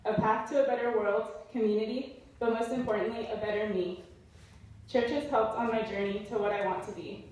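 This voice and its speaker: A woman's voice: on the high side, a little mellow, slight background noise. Young woman reciting verse in echoey hall. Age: late teens, young adult. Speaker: graduating senior giving a speech. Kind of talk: reciting a rhyming poem as a speech. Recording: very echoey hall, distant mic.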